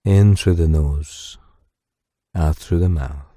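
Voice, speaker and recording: A man with a low, deeply mellow voice narrates a bedtime meditation. His delivery is slow, soothing and gentle, as if meant to ease a listener to sleep. Soft music sits beneath the close-miked voice. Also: no echo.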